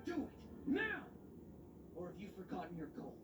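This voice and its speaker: A woman's voice: lowish voice, huge pitch swings, normal speed. A woman voicing an intense, impatient conspirator in a dramatic video game scene. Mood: urgent, impatient. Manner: forceful, impatient, insistent. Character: intense, driven conspirator urging on a risky experiment. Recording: roomy, distant, noisy game capture.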